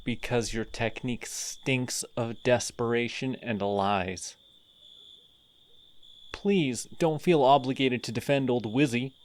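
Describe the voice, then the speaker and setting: A man voicing a sardonic male telepathic villain in an audio roleplay, mocking and deliberate. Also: medium pitch, lightly acted.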